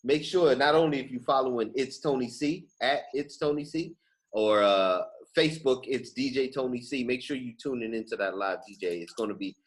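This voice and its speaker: A man hosting a podcast in casual, animated chat, using street slang and repeating phrases for emphasis. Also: mid-range voice, normal speed. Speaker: podcast host.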